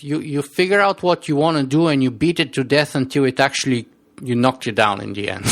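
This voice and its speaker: A man's voice: fairly high pitch, vocal fry, a bit bright. A man with a strong Bulgarian accent, a podcast guest telling his story in an earnest interview manner, often repeating words as he restarts.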